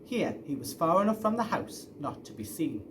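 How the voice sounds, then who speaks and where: A man's voice: on the high side, big pitch swings, normal speed, background noise. A man reading a children's story aloud expressively, as a storyteller. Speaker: story reader.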